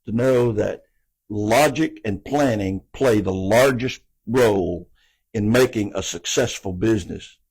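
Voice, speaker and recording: A man's voice: on the low side, vocal fry, darkish tone, breathy edge, low-quality recording. A man, answering interview questions in an earnest, folksy way and often repeating words as he goes. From American South. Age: 80s. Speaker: city council candidate.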